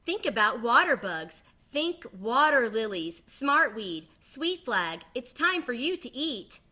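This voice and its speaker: A woman's voice: on the high side, big pitch swings, takes it slowly, pushed and bright, voice pushed up, exaggerated voice. A woman voicing an encouraging mother duck in a children's storybook, coaxing with emphasis. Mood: cheerful coaxing. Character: encouraging mother wood duck calling to her ducklings. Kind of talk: children's storybook read-aloud.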